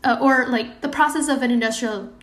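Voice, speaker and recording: Higher-voiced woman, casual podcast guest. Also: fairly quick. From Indonesia. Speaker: podcast guest, product designer. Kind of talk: casual interview chat.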